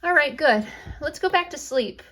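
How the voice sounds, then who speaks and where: A woman's voice: sits high, lively intonation, normal speed, a bit bright. A woman voicing a caring young bunny big sister in a children's story, reassuring and patient. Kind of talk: acted bedtime storytelling.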